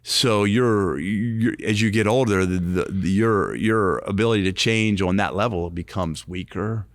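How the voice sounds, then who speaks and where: A man near sixty with a low, gravelly voice, co-hosting a faith-based recovery podcast. He talks earnestly, repeating and restarting phrases as he builds a point. He is recorded close and dry, with no room echo. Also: a little slow. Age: 50s. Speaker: podcast co-host, recovery ministry.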